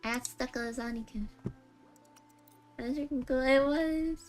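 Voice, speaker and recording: A woman's voice: high-pitched, normal speed. A woman live-streaming a game with casual, doting reactions in short, gushing exclamations. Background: game music.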